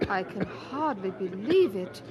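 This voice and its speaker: A woman acting a devoted, anxious wife of an eighteenth-century preacher, concerned and expressive, in an audio drama. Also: mid-range voice, a little slow, crowd noise.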